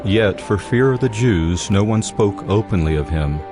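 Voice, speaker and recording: A man reading scripted narration for a dramatized audio Bible in a measured, solemn way. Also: fairly low pitch, lively intonation. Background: music.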